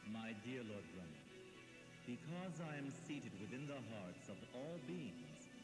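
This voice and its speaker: An actor playing an all-knowing, reassuring supreme god in a devotional audio drama, measured, majestic and formal. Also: male voice, mid-range voice, very animated, over music. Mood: calm, reassuring. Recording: long cavernous reverb.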